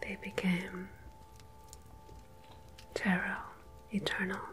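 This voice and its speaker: A woman playing a mysterious, gentle tarot medium in an ASMR roleplay, speaking in a soft breathy whisper with deliberate pauses. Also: medium pitch, monotone, a little hiss or hum. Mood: mystical, solemn. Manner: slow, hushed, deliberate, with long pauses. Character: mysterious gentle female tarot medium between two worlds.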